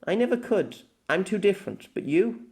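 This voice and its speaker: A man narrates as a long-lived, world-weary empress in an audiobook. The delivery is formal and measured, with dramatic pauses and a tender, wistful temper. The pitch sits slightly high. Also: animated, darkish tone. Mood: fond, regretful. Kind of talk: scripted audiobook narration of a letter.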